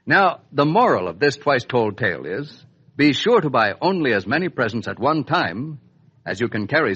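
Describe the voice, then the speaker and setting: A man announcing and narrating a 1950s radio serial in a scripted, dramatic storytelling style with deliberate emphasis. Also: mid-range voice, a bit bright, husky. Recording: muffled old 1950s radio recording.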